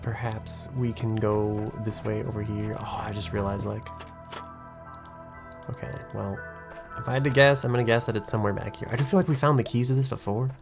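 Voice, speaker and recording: A man streaming a puzzle game. He talks fast and low-key, with plenty of "like" fillers and a somewhat nasal voice, stumbling over words now and then. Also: steady tone, gravelly, music in the background. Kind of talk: casual gaming commentary, reading game text aloud. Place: home room with a desk.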